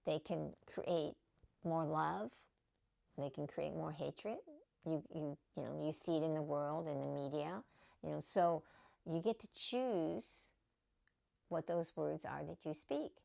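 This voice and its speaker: A woman's voice: deep voice, a little slow, gravelly, warm tone. A middle-aged woman hosting a spiritual talk, speaking casually and often checking in with "okay?" and "you know". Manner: conversational, many fillers and "okay?" check-ins. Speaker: spiritual teacher and podcast host. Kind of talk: casual spiritual teaching monologue.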